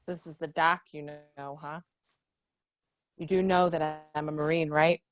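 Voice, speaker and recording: A woman's voice: low-pitched, little pitch movement, a little slow, dry recording, lo-fi sound. A woman playing a guarded, stubborn, wounded young Marine veteran in a screenplay table read. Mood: gruff, resistant.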